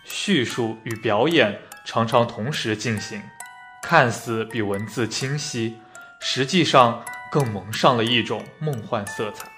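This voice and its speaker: A man narrates from a script in a medium-pitched voice. Instrumental music plays underneath as he reads, in the manner of an audio programme. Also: a bit bright.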